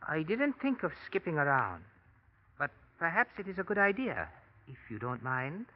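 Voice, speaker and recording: Polite, quietly determined man, fairly high lively voice, formal phrasing, muffled vintage radio drama. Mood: courteous, probing. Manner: courteous, formal, measured wording. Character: polite, determined man seeking to clear his brother. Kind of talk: 1940s radio noir acting. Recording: muffled old radio recording.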